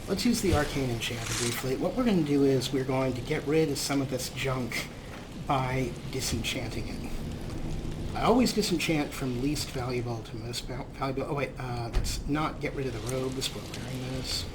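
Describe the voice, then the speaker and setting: Guy chatting through a video game playthrough, medium pitch, game noise behind him. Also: moderate pace. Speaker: let's play host. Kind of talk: casual gameplay commentary. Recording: noisy, game sound behind voice. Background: video game ambience.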